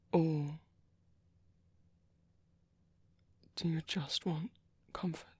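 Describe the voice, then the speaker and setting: A man playing a gentle, caring vampire priest in a whispered ASMR roleplay, speaking slowly and tenderly with soft, hesitant reassurance. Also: fairly high pitch, no room sound. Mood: tentative, tender. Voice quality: soft, breathy, husky whisper.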